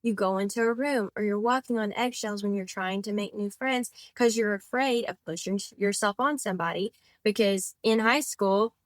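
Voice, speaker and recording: A woman's voice: mid-range voice, moderate pace, hardly any pauses, no echo. A woman in her twenties, a podcast guest and author, talking in a chatty, rambling way with lots of "like" fillers and self-corrections. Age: 20s. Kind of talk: casual conversational interview.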